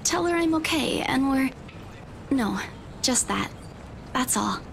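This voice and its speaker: A woman playing a worried, guarded young woman who is a loyal, gentle friend in a visual novel game. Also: high-pitched, lively intonation, slow, vocal fry, a little hiss or hum, exaggerated voice.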